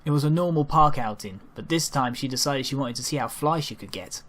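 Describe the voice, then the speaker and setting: A man's voice: medium pitch, a little fast, a bit bright. A man reading a first-person story aloud as an amateur narrator, sometimes stumbling over his lines. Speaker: amateur reader narrating a fan fiction story.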